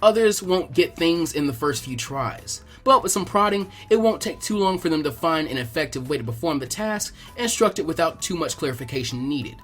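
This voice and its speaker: A man's voice: fairly high pitch, expressive, brisk, few pauses, faint music behind. A man reading fan fiction aloud as a scripted narrator.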